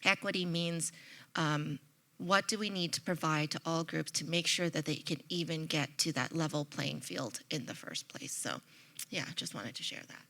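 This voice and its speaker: A woman's voice: low voice, moderate pace, gravelly, sharp tone. Passionate middle-aged woman panelist. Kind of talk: conference panel storytelling.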